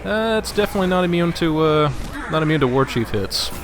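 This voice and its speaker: A man's voice: on the high side, animated, quick pace. A man chatting casually as a co-commentator on a video game. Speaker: guest co-commentator. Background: faint game music.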